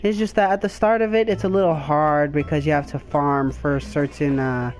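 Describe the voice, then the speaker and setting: A man's voice: a little high, steady tone, normal speed. A man streaming a game, chatting casually in a loose, rambling way. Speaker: gaming streamer. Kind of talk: casual chat while gaming. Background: game audio.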